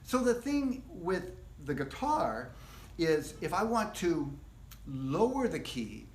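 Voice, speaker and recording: A man teaching a casual live guitar workshop, explaining things step by step in a relaxed, rambling way. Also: a little high, takes it slowly, slight background noise. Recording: echoey room.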